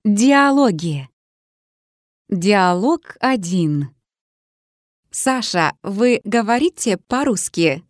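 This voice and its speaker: A woman reading lesson dialogues aloud in a measured, carefully articulated way. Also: medium pitch, expressive, dry recording, clean studio sound. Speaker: language teacher.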